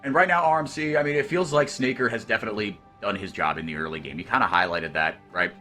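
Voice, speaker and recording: A man calling esports play-by-play, excitable and rising sharply when fights break out. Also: fairly low pitch, fast, faint music behind.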